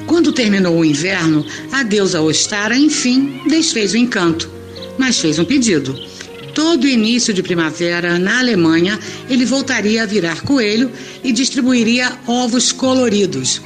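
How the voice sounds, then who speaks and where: Brazilian woman narrator over soft music. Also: mid-range voice, expressive, normal speed. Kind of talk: scripted storytelling narration.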